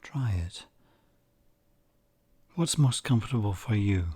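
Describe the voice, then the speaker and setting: A man guiding a meditation, speaking softly and calmly, some phrases nearly whispered. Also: lowish voice. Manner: soft-spoken, calm and unhurried, with breathy near-whispered phrases. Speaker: meditation guide. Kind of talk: slow guided meditation.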